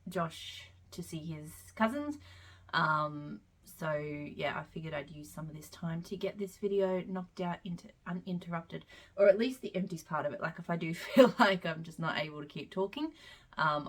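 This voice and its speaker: A woman with a stuffed-up, congested voice from a cold talks through her wax melt empties in a relaxed, rambling ramble full of asides. Her voice sits a little low for a woman. She is recording at home. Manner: rambling, chatty, frequent "um" and side tangents. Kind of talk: casual chatty product review vlog.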